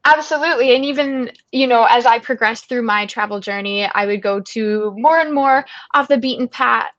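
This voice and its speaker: A woman's voice: fairly high pitch, moderate pace, no echo. A young woman with a Canadian accent, answering webinar interview questions in an earnest, encouraging way and sometimes repeating words as she thinks. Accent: Canadian English. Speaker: guest speaker, travel writer. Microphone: video call. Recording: dull lo-fi call audio, dry.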